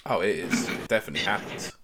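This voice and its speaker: Deadpan man with a calm, monotone voice. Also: deep voice, fast talker, background noise. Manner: dry, deadpan humour. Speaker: podcast co-host. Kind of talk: casual podcast chat.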